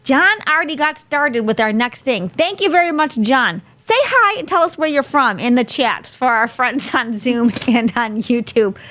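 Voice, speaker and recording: A woman's voice: sits high, expressive, normal speed, hardly any pauses, bright, forceful tone. Chatty woman hosting. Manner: chatty, friendly, laughs easily. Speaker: host. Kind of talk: hosting a live webinar.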